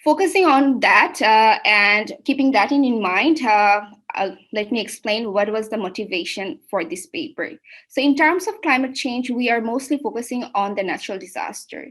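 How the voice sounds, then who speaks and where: A young woman with a Bangladeshi accent presents academic research as a PhD student, speaking in a halting way with repeated and rephrased words. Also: a little high, a bit bright. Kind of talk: academic seminar presentation, semi-spontaneous.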